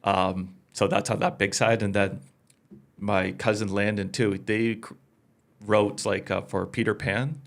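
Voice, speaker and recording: Low, creaky-voiced man hosting. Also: level tone, unhurried. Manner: informal, enthusiastic. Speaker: podcast host. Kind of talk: casual interview chat.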